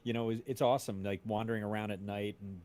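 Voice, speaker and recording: A man's voice: lowish voice, flat delivery, quick pace, darkish tone, clean tone. A man hosting a casual podcast chat, enthusiastic and appreciative toward his guest. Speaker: podcast host.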